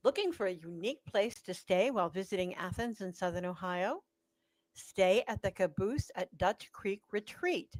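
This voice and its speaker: A woman's voice: mid-range voice, dry recording. A woman announcing and narrating a radio drama in a scripted style.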